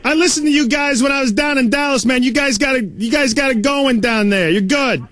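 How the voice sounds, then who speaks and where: A man's voice: very high voice, fairly quick, a bit bright. A man playing a brash, scornful sports radio host, bantering and ranting in blustery slang. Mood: flattering, chummy. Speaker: radio host making a prank call.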